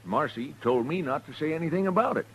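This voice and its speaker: An actor playing an earnest frontier depot worker with a rural American accent, folksy and plain-spoken, in an old radio western. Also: male voice, on the high side, expressive, quick pace, fairly bright tone, a little hiss or hum. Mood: earnest, defensive. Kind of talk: 1950s radio western acting. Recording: muffled vintage radio recording.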